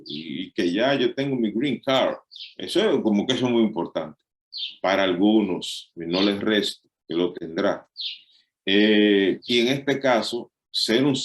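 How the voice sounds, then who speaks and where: A man's voice: medium pitch, echoey room. A middle-aged Dominican man with a Dominican accent, preaching a sermon in a conversational way, often restarting and filling with "eh". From Dominican Republic. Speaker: pastor.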